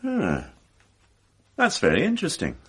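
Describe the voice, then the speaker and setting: An actor playing a polite, probing police inspector in a radio drama, with formal, measured questioning. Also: male voice, fairly high pitch, wildly swooping pitch, slightly rough, full character voice.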